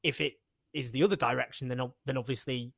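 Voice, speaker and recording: A man hosting a political discussion, talking in an animated, emphatic way. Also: mid-range voice, fast talker, creaky, no room sound, clean studio sound. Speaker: host.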